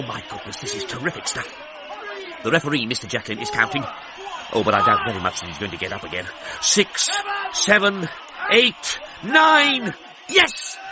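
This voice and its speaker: An excitable British radio commentator of the 1930s. His plummy, theatrical delivery sits fairly high and swoops widely. The sound is dull and noisy. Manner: breathless, plummy exclamations, theatrical.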